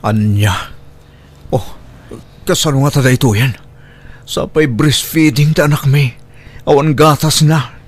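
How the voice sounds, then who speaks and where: A man's voice: mid-range voice, fairly even intonation, unhurried, pauses often, natural voice. A humble young single dad in a Filipino radio-style drama acted by a man, speaking with an Ilocano accent. He sounds troubled and pleading, with a hesitant delivery in an ordinary male register. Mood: anxious, tearful. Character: distressed young single father, humble, abandoned by his partner. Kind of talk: Filipino audio drama acting.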